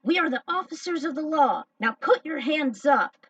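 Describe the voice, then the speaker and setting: A woman acts out a stern, commanding policeman in a story reading. The voice is raised in pitch and recorded dry and close. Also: animated, normal speed, a bit bright, dry recording, recorded from a distance, dull audio. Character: stern male police officer giving orders.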